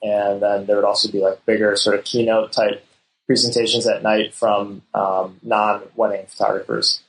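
A man, a wedding photographer guest on a podcast, explaining things in an easygoing, halting way. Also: fairly low pitch, level tone, rough recording. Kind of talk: casual interview chat.

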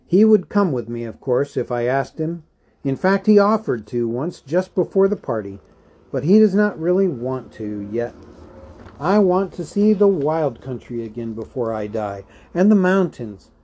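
A man's voice: fairly high pitch, big pitch swings, a little slow, warm tone, voice pushed up. A man voicing an elderly hobbit in a storybook reading, fretful, stubborn and possessive, with a querulous, insistent manner. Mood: wistful, resolute.

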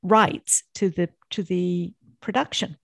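A woman's voice: on the low side, fairly even intonation, slow pace, creaky, no echo, clean studio sound. A woman hosting a podcast, asking questions in a casual, conversational interview style.

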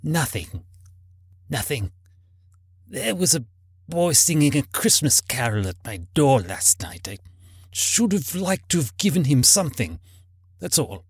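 A man voicing an emotional old man in a storybook reading, exclaiming and repeating himself in a husky, cracking voice. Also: very high-pitched, big pitch swings, takes it slowly, hardly any pauses, mellow, dry recording, clean studio sound, pitched above the performer's own voice, full character voice. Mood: wistful regret. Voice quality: husky, cracking between laughing and crying. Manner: exclamatory, breathlessly repetitive.

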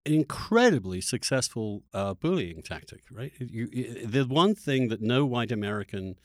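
A man's voice: medium pitch, lively intonation, moderate pace. A man hosting a political discussion podcast, speaking emphatically and rhetorically, repeating phrases for stress. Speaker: podcast host.

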